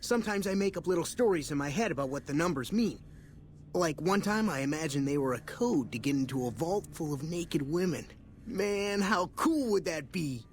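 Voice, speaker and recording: A man's voice: on the high side, expressive, moderate pace, close to an everyday voice. Game slacker technician, male actor: boastful and dim, swaggering slangy delivery, faint background noise. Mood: smug, dreamy. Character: cocky, dim slacker posing as a genius technician. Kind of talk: video game dialogue.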